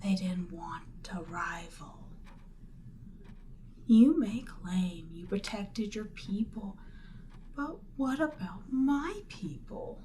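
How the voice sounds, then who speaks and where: A woman voicing a seductive, imperious demon queen in an audio roleplay, speaking deliberately and theatrically, with breathy near-whispered phrases. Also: mid-range voice, lively intonation, warm tone, slight background noise. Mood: bitter, indignant. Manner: slow, deliberate, theatrical, persuasive. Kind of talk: roleplay audio drama, ASMR monologue.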